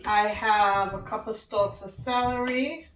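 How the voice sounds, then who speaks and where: A woman's voice: mid-range voice, steady tone, unhurried, reverberant, faint music behind, poor audio. A woman from Trinidad and Tobago hosting a home cooking demonstration, chatty and talking to viewers as friends. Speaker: cooking show host.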